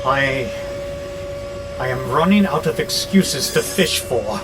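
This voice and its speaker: An actor playing a belligerent, sardonic warehouse robot who grumbles with exasperation in an audio drama. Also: male voice, mid-range voice, animated, slow, over music, low-quality recording. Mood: grudging. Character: grumpy, belligerent male warehouse robot, war-hungry, wary.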